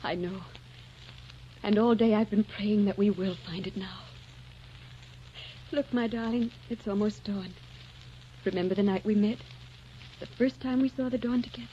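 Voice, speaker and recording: An actress playing a tender, devoted young woman in a doomed romance, with soft, wistful delivery in 1940s radio drama style. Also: mid-range voice, normal speed, long pauses, slight background noise, natural voice. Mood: tender, fearful. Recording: dull old radio recording, soft music behind.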